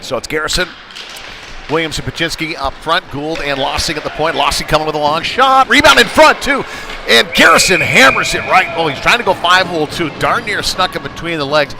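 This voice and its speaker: A man's voice: fairly high pitch, brisk, vocal fry. A man doing live play-by-play commentary of an ice hockey game, following the play in conversational, clipped running phrases. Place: indoor ice hockey rink. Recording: noisy live rink stream, loud background noise. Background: loud rink noise.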